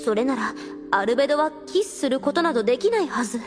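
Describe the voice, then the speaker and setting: Haughty undead girl, extremely high and swooping, scheming and theatrical, quaint courtly diction, anime audio drama. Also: animated, fast, over music. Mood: suspicious.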